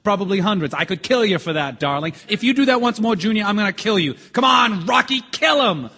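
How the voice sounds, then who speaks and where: A calm reasonable juror in an audio play, highish voice, measured, keeps arguing his doubts. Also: vocal fry, exaggerated voice. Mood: persuasive. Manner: measured, persuasive, questioning. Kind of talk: stage-style audio drama acting.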